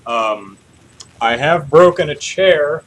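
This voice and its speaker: A man in his thirties hosting a podcast in casual chat, with an animated, self-deprecating way of telling stories. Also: on the high side, slow, poor audio. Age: 30s. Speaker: podcast host. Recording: clear steady background noise.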